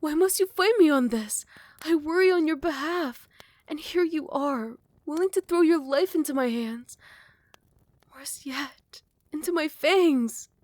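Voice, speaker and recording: A woman playing a possessive yet tender royal vampire in love, speaking in formal, old-fashioned phrasing with breathy half-whispers in an intimate ASMR roleplay. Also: high-pitched, measured pace, darkish tone, no room sound. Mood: worried, exasperated.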